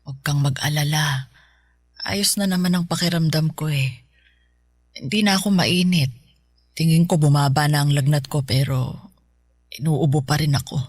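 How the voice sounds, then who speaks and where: A woman playing an affectionate, ailing elderly mother in a radio drama, speaking in a colloquial, motherly, gently nagging way. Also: sits low, normal speed, pauses often, breathy edge, no echo, studio quality. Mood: weary, reassuring. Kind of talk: Tagalog radio drama acting.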